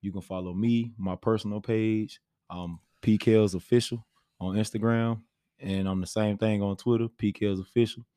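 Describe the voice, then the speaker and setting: A man's voice: fairly low pitch, steady tone, normal speed, dark tone, clean tone, no room sound, studio quality. An American man hosting a podcast, chatting casually and informally with slang. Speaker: podcast host.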